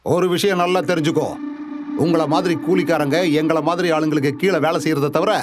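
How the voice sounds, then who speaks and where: A man's voice: fairly high pitch, fairly even intonation, brisk, background noise, close to an everyday voice. An actor dubbing a scheming boss who talks down menacingly to a hired man. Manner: menacing, condescending.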